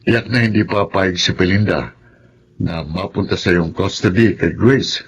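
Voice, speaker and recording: Lower-voiced, sensible older cousin. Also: male voice, unhurried, breathy edge, full character voice. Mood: concerned. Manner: conversational, advising. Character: sensible, concerned elder male cousin. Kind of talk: Filipino radio drama acting.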